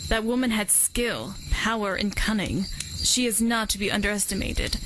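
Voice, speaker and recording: A woman playing a stern, protective elderly leader of a young band of fighters, who speaks in a measured, commanding way. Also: medium pitch, noisy background, lightly acted. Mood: grave. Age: older (figure). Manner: measured, commanding, matter-of-fact. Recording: night ambience with loud crickets.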